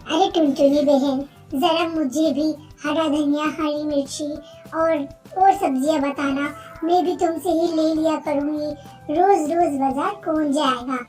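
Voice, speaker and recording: A woman's voice: high voice, steady tone, measured pace, darkish tone, soft background music. A woman voicing a bossy, gossipy female village bird in a kids' cartoon, chatty and coaxing. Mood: friendly, casual. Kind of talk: Hindi kids' cartoon character voice.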